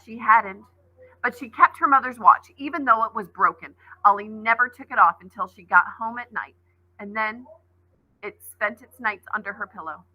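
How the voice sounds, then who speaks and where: A woman's voice: a little high, moderate pace, sharp tone, no echo, poor audio. A woman teacher reading a novel aloud expressively, adding brief asides.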